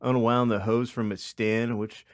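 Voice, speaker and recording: A man's voice: mid-range voice, level tone, unhurried, no room sound. Dry-sounding man narrating a comic story. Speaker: podcast host.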